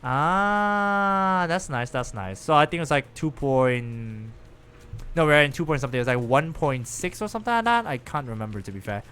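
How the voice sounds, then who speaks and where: A man chatting casually with viewers and reacting out loud while he plays a game on a live stream. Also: a little high, normal speed, smooth. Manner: chatty, animated, lively reactions while playing.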